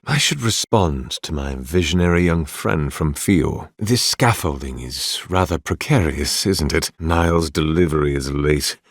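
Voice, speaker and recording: Weary sun god priest for a fantasy game, kinda deep, really slow n formal, scholarly and polite. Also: male voice, slightly rough, no echo, studio quality, exaggerated voice. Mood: reflective. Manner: measured, formal, thoughtful, reverent. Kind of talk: video game character dialogue, formal and archaic.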